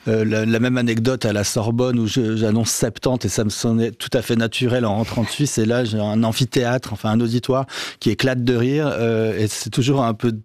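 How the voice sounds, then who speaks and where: A man's voice: medium pitch, level tone, fairly quick, darkish tone, no room sound. A man speaking as a guest on a radio discussion, hesitant and self-correcting.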